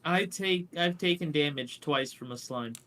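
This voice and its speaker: A man's voice: a little high, normal speed, fairly bright tone, slight background noise. A man in a casual chat about video games, agreeable and often unsure of himself.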